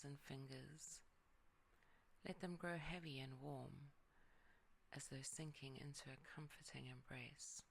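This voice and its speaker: A yoga nidra guide leading a calm relaxation meditation, speaking softly and often sinking into a whisper. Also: medium pitch, creaky, a little hiss or hum.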